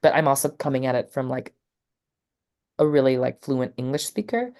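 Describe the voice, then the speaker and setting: A woman's voice: very deep voice, lively intonation, moderate pace, warm tone. A woman poet and teacher talking casually in an interview, rambling and full of fillers like "like" and "you know.".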